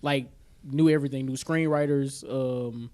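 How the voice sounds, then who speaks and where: A man's voice: medium pitch, fairly even intonation, measured pace, darkish tone. A man co-hosting a casual chat podcast, slangy and agreeable, often repeating himself for emphasis. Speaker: podcast co-host. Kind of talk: casual chat about superhero films and shows.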